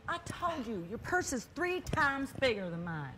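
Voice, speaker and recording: A woman's voice: sits high, big pitch swings, moderate pace, noisy background. An actress playing a wistful, stubborn older woman in a stage play, pleading and arguing earnestly. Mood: flustered. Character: wistful, stubborn older sister yearning for late-life adventure.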